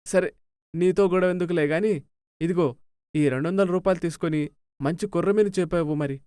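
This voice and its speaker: A man's voice: high voice, normal speed, mellow, clean tone, no room sound, close to an everyday voice. A man acting a mild, practical village husband in a dramatised moral story. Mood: brusque.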